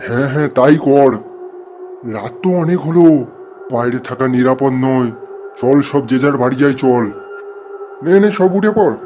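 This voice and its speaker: A man's voice: mid-range voice, moderate pace, pauses often, over music, close to an everyday voice. A man voicing a cautious, scolding village uncle in a cartoon, giving colloquial advice and orders. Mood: cautious, urging. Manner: admonishing, colloquial, commanding.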